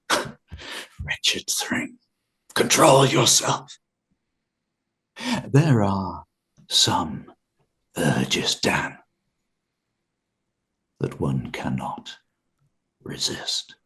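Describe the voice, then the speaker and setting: An actor playing a sinister, murderous fiend who serves a dark lord, hissing in whispers and booming with menace. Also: male voice, wildly swooping pitch, drawn-out words, pauses often, exaggerated voice. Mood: menacing.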